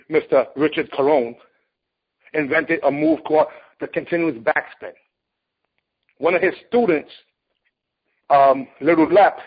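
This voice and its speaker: A man in his late forties who talks bluntly and emphatically, lecturing as he tells his story in an interview. His voice sits a little high and has a husky, slightly rough edge. The recording sounds dull and lo-fi, with the top end missing. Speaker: martial arts competitor and teacher.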